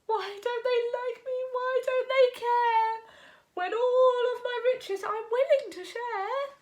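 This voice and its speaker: Lady doing a show-off goat for a kids' story, lonely guy, really high voice, takes its time. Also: fairly even intonation, takes it slowly, darkish tone. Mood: miserable, pleading. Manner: bragging, showy, exclaiming. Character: lonely, boastful male goat in a tower.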